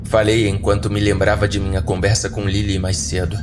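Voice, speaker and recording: A man with a Brazilian accent narrating a scripted first-person horror story in a dramatic, deliberate way. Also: lowish voice, level tone. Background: background music.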